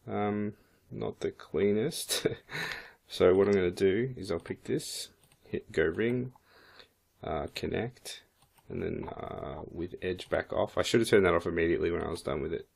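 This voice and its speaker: A man with a croaky voice, casually thinking aloud as he walks through a 3D modeling software tutorial. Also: low voice, measured pace. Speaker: 3D modeling instructor. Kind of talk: screen-recorded software tutorial.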